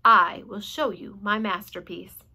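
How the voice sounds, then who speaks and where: A wise, gentle spider who speaks slowly and soothingly, taking her time over each word , read aloud by a woman. The voice is bright and clear. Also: medium pitch, heavily acted. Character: wise, gentle female spider, calm and tired.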